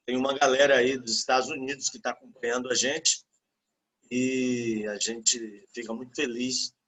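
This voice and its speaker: Male host. Bright, rough-edged voice, colloquial introductions at a slow pace. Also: mid-range voice, low-quality recording. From Salvador, Brazil. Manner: friendly, colloquial. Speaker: host, pastor introducing a guest lecturer. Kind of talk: informal spoken introduction to an online lecture.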